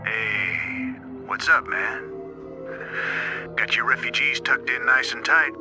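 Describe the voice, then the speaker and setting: An actor playing an easygoing, folksy frontier marshal with a relaxed drawl. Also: male voice, medium pitch, slow pace, over music, lightly acted. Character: laid-back male frontier marshal, drawling.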